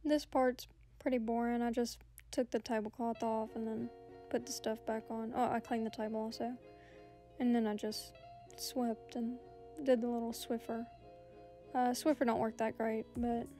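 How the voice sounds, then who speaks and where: Young girl w a high voice, chatty and rambly, kinda far from the cam, faint music behind. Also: level tone, moderate pace, mellow. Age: young adult. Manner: chatty, lots of ums and so yeah. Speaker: vlogger. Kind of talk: casual clean-with-me vlog. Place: small house rooms, indoors. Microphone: camera on a stand, from across the room.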